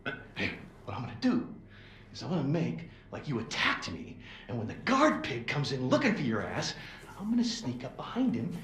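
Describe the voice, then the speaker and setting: A wired, foul-mouthed rebel posing as a lawyer in a game cutscene. His pitch swings wildly and the tone is bright and sharp, in a roomy, echoing space. He rattles on in animated street slang. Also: moderate pace. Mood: scheming, excited. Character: fast-talking sweary rebel posing as a lawyer, wild and wired. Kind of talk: video game cutscene acting. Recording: roomy echo.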